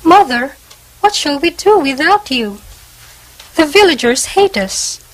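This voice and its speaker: A woman's voice: high voice, expressive, unhurried, rough recording. A woman playing a distressed young nun in an amateur stage play, pleading plaintively with her superior. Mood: plaintive worry.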